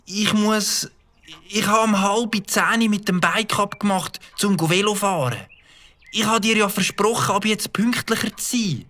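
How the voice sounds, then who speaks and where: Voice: medium pitch, measured pace, fairly bright tone, lightly acted. A voice actor with a Swiss German accent, playing a nervous, evasive young boy in a children's audio drama. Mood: hurried.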